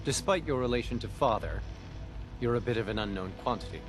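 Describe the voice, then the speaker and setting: A man's voice: mid-range voice, lively intonation, fairly quick, music in the background, lightly acted. An actor playing a suspicious, condescending male security director with a clipped, officious manner in a video game. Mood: cold, suspicious. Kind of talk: video game dialogue acting.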